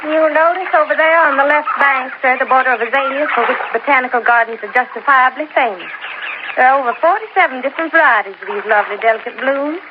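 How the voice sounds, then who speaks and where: A woman plays a young Southern belle tour guide with a high-pitched voice. She has the polite manner of old radio drama. The recording is dull and clearly noisy. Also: quick pace, close to an everyday voice. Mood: gushing, reciting. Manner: flowery recited patter, polite. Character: young Southern belle garden guide, prim then candid. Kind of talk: 1950s radio drama acting.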